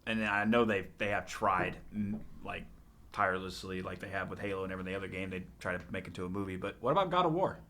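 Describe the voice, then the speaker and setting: A man's voice: low voice, fast talker, a bit bright, a little hiss or hum. A man talking casually and bluntly on a podcast. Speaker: podcast panelist. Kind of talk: casual chat.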